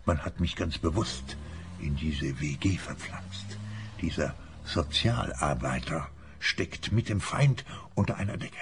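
A man's voice: low voice, breathy edge, exaggerated voice. An actor playing a paranoid, self-important old man in a radio play, speaking in a secretive, intense way. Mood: aggrieved, suspicious. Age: older.

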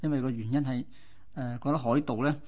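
A man's voice: mid-range voice, steady tone, a little fast, lo-fi sound. A man with a Hong Kong accent co-hosting radio, talking reflectively and explaining things in a conversational storytelling way. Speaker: radio co-host. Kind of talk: conversational radio storytelling about local history.

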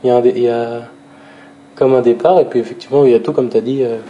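A man's voice: medium pitch, fairly even intonation, fast, warm tone, lo-fi sound, a little hiss or hum. A man talking casually and reflectively, hesitant as he searches for words.

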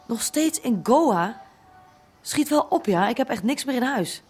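An actress playing an overburdened small-time soft-drug dealer in a radio drama, speaking directly and colloquially. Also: female voice, fairly high pitch, lively intonation, unhurried, breathy edge, soft background music. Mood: pressing. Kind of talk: naturalistic radio drama acting.

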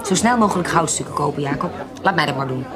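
Over a loud crowd comes a deep-voiced woman adventurer of the eighteenth century. She is shrewd and possessive, teasing and probing her partner, and used to giving the orders. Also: animated, quick pace. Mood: teasing, decisive. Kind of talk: Dutch radio play acting. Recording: busy crowd chatter behind the voice. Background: loud tavern crowd.

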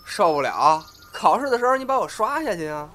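A man playing a cheeky young amateur comic performer in an audio drama, with a quick, needling way of talking back. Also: high voice, very animated, sharp tone, slight background noise, full character voice. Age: young adult. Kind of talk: audio drama acting with crosstalk patter.

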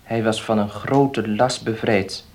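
An actor playing an earnest, dutiful nephew in a radio play, speaking formally and solemnly, sounding defeated. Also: male voice, on the low side, steady tone, slow, darkish tone.

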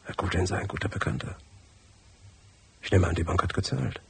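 Low, hushed, gravelly worried gentleman. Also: brisk, a little hiss or hum. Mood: worried, subdued. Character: worried well-off husband hiring a private detective. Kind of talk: German radio drama acting.

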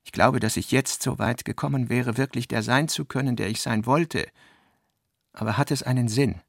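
Male reader as early-thirties man, self-important, earnest, gravelly, dry radio play sound. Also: medium pitch, moderate pace, no room sound. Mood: earnest, anguished.

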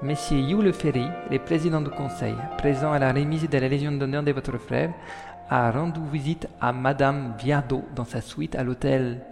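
A man playing a smitten, courteous gentleman suitor who is easily flustered, in a French audio drama with formal period speech. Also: medium pitch, steady tone, normal speed, close to an everyday voice. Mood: hesitant. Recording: long reverb, clear music behind.

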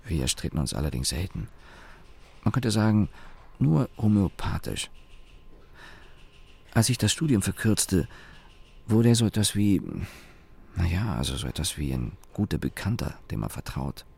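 Young engineer in a radio play, super deep creaky voice, dry sardonic storytelling, lots of little pauses. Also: male voice, big pitch swings, darkish tone, heavily acted. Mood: wry, reflective. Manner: wry, deliberate, with pauses and self-corrections. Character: young engineer, sardonic company employee recalling his father. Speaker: narrator and lead actor. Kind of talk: German radio play monologue, reflective storytelling.

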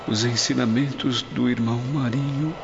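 A man's voice: medium pitch, fairly even intonation, normal speed, lightly acted. An actor playing an ageing, weary Roman nobleman and grieving father in a radio play, with grave, theatrical delivery. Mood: grieving, hopeful. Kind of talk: dramatised radio play acting. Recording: dull lo-fi audio under loud music.

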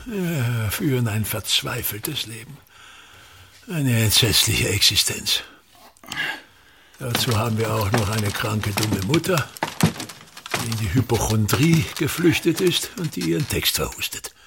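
An actor playing a pompous, bitter, tyrannical ageing actor-manager in a radio play, declaiming and haranguing with a husky voice. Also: male voice, medium pitch, expressive, a little slow, noisy background. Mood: bitter, ranting. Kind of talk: theatrical radio play monologue.

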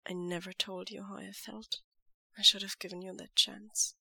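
A woman voicing a reserved, steady young man who speaks low, softly and tenderly. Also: creaky, slightly rough, dry recording, exaggerated voice. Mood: quiet tender. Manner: low, hushed and measured.

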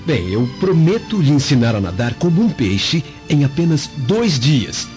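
Deferential young slave: measured, tactful, expressive mid-pitched voice, radio drama with faint music. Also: male voice. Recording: muffled lo-fi, music underneath.

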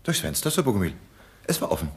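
Casting: a sly, mock-courteous schemer, low husky voice, lively pitch. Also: male voice, fast talker, exaggerated voice. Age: young adult. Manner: cocky, mock-polite. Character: cheeky scheming young man, detective's son.

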